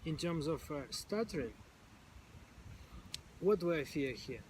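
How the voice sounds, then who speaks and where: A man's voice: on the high side, animated, a little slow, darkish tone, slight background noise. A man in casual conversation who now and then repeats sounds when stuck on words. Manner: occasional stuttered repetitions, informal phrasing. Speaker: stammering support group member.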